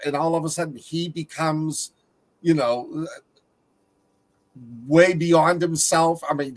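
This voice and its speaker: A man on a movie review panel talking casually, pausing mid-sentence to find his words. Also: fairly high pitch, rough recording.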